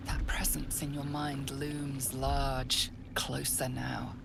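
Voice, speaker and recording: An ominous female fantasy game narrator, with a very low, gravelly voice. She tells the scene in measured, suspenseful phrases, over loud ambient noise. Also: flat delivery, full character voice. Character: ominous, composed female storyteller of dark fantasy. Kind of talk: scripted second-person fantasy narration.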